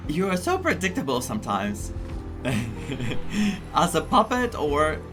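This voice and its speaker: A woman's voice: deep voice, huge pitch swings, slow, music in the background. A woman from Greece, giving casual, wry and teasing commentary as she plays a story game. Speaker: gamer reacting to a story quest.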